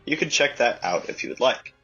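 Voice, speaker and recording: A man's voice: medium pitch, animated, a little fast, sharp tone, breathy edge, soft background music. A man reading a scripted announcement, explaining plainly. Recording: dull lo-fi recording.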